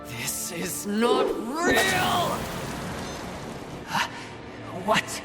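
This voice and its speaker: A woman playing a proud, hot-tempered rider of the apocalypse in a video game, with an imperious, forceful, dramatic delivery. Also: high-pitched, huge pitch swings, drawn-out words, full character voice. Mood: furious. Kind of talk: video game cutscene acting. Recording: loud music under the voice.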